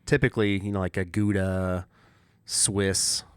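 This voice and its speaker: A man's voice: deep voice, steady tone, unhurried, clean tone, dry recording, studio quality. A man co-hosting a podcast, chiming in with playful, teasing comments. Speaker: podcast co-host. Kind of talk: casual podcast chat.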